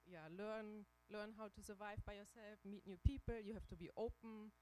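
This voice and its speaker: A woman in her thirties with a German accent talks about her career with slides. Her speech is halting and full of fillers and restarts. A faint hiss sits under her voice. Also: medium pitch, fairly even intonation, a little mellow, poor audio. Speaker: guest speaker. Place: church hall.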